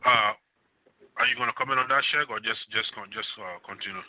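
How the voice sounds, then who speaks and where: A man's voice: fairly low pitch, a little fast. A man hosting a call-in radio show, taking callers and asking probing questions in a conversational way. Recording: dry phone-line audio.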